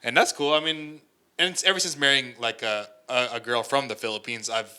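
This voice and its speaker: A man's voice: mid-range voice, steady tone, bright, forceful tone, clean tone. A man from the States co-hosting a podcast, asking questions in a casual chat and often tagging his points with "you know what I mean". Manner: fast talker, trails off with rhetorical tags. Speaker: podcast co-host.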